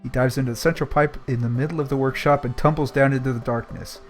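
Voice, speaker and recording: A man's voice: medium pitch, steady tone, quick pace. Man reading aloud and chatting over music. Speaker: host and reader of a book club livestream. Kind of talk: reading a storybook aloud with casual commentary.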